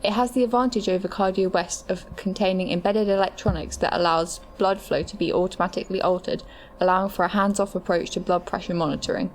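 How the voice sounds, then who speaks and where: A woman's voice: medium pitch, steady tone, quick pace, a little hiss or hum, lightly acted. A woman playing a matter-of-fact medical adviser, reading scripted explanations in an audio drama. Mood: informative.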